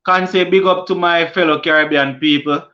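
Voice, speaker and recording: A Jamaican man hosting a casual cricket live stream, reading viewer comments aloud and answering them, often halting and restarting mid-sentence. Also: fairly high pitch, steady tone, sharp tone, dull audio. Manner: halting, many restarts and fillers.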